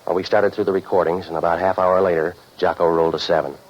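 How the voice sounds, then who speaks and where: An actor playing a cynical, deadpan private investigator in a scripted old-time radio detective drama. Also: male voice, low voice, fast. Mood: dry, weary. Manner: deadpan, sardonic. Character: cynical hard-boiled private investigator, wisecracking loner. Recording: noisy muffled old radio recording.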